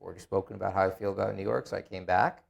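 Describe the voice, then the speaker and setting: A man's voice: low-pitched, fairly even intonation, very fast, gravelly. A middle-aged man with an Israeli accent, a guest telling his story with dry, self-deprecating humor. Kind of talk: casual interview.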